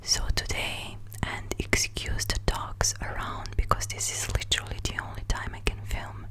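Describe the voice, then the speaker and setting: A young woman with a Croatian accent whispering slowly and softly for ASMR, close to a studio mic in a small room at home. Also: low-quality recording. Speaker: ASMR creator. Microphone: large foam-covered studio mic close up.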